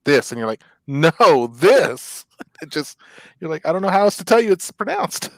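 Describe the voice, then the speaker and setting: A man's voice: fairly high pitch, very animated, dry recording. Man co-host, playful teaser, dry close sound. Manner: jokey, quick to tease and laugh. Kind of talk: casual chat.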